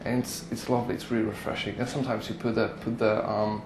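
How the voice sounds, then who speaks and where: A man's voice: fairly low pitch, level tone, fast, noisy background. A young man from Poland with a Polish accent, a psychology student giving hesitant interview answers and often repeating words.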